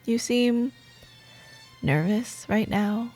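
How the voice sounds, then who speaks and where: Woman acting a slow-paced, high-voiced sardonic office rival. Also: soft background music, full character voice. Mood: teasing, flirty. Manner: teasing, expressive, with sighs and dramatic pauses. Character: sardonic, bantering female office rival, secretly admiring and sentimental. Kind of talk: scripted romantic audio roleplay, ASMR.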